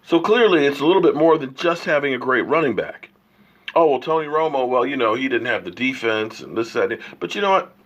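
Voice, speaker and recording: A man's voice: mid-range voice, lively intonation, brisk, fairly bright tone, dull audio. A man hosting a sports opinion livestream while he has a cold, arguing emphatically and firing off rhetorical questions. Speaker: sports show host.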